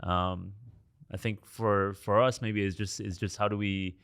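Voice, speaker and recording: A man's voice: fairly low pitch, level tone, unhurried, a bit bright, smooth. A man who grew up in France, a podcast guest, talking earnestly and encouragingly in a casual interview. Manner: earnest, encouraging, restarts often.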